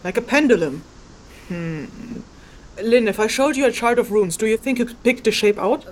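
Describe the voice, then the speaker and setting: Actress as focused lady spirit researcher in fantasy audio drama, highish voice, measured and probing, bit of rumble behind. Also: animated, natural voice, low-quality recording. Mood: focused, intent. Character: focused, inquisitive female spirit researcher interviewing refugees.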